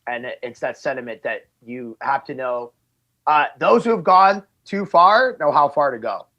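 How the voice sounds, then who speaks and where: A man in a band, recalling a conversation thoughtfully in an interview, halting with restarts. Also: medium pitch, animated, sharp tone, muffled recording. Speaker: band member.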